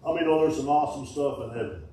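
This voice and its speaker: A middle-aged man, preaching a sermon in a folksy call-and-response style, with sudden loud bursts. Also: mid-range voice, expressive, very fast, slight background noise. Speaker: pastor. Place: small wooden-walled church sanctuary. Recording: echoey church from a distance.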